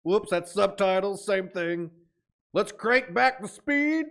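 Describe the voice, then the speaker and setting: A man's voice: high-pitched, slow pace, creaky. A man hosting a reaction video, talking casually and dramatically as he comments on what he watches. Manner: animated, dramatic exclamations.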